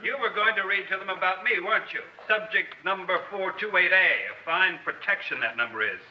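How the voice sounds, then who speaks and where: A man's voice: sits high, brisk, lightly acted. An actor playing an intense, embittered man in a 1960s radio drama, emphatic and challenging. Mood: furious, threatening. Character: intense, embittered non-scientist man with a grudge. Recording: muffled, noisy old radio recording.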